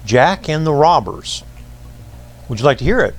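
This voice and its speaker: A man's voice: fairly high pitch, sing-song, moderate pace. A man telling a folksy tale to children in colloquial dialect. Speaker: storyteller. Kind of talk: folksy oral storytelling for children.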